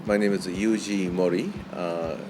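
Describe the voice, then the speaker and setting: Japanese-accented man, English not his first language; hesitant, deep voice, noisy room. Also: fairly even intonation. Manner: halting, with many "um" and "uh" fillers. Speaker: board member.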